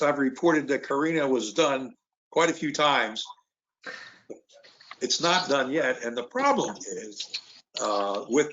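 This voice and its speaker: A man's voice: medium pitch, fairly bright tone. A man giving a rambling report at a committee meeting over a video call, with frequent fillers and restarts. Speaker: work group chair giving a report. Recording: muffled video call audio.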